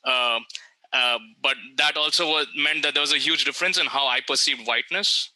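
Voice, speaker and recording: A man's voice: on the high side, moderate pace, sharp tone, dry recording, rough recording. A man answering as a panelist on a video call, reflective and hesitant.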